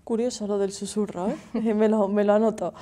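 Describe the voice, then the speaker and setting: A woman's voice: mid-range voice, steady tone, normal speed, mellow. A woman in her thirties with a Galician Spanish accent, a TV interviewer asking questions in a conversational way in a studio. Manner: conversational, asks with tag questions.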